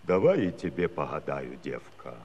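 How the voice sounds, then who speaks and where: An actor playing a wheedling, clownish Cossack husband in a comic musical play, with theatrical drawn-out delivery. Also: male voice, very deep voice, sing-song, darkish tone, long reverb tail, muffled recording. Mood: wheedling, mock sorrow.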